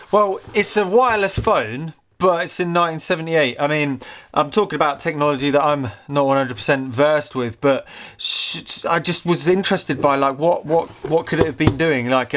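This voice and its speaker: A man giving casual, unscripted commentary as a host showing a gadget, rambling and halting with repeated words, curious and easily amused. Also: fairly high pitch, fairly bright tone. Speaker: host of a vintage technology channel.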